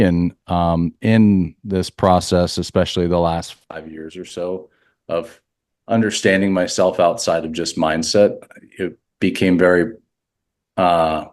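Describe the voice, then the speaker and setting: A man from Central Florida, a leadership coach for athletes, talking calmly and earnestly in a reflective podcast interview. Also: low voice, no echo, clean studio sound. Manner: calm, earnest, spiritual phrasing.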